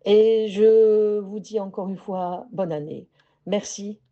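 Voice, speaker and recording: Algerian lady teacher, slow careful talk, really dark mellow voice, bit muffled sound. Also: medium pitch. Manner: slow, measured, with hesitant pauses. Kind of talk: prepared personal message.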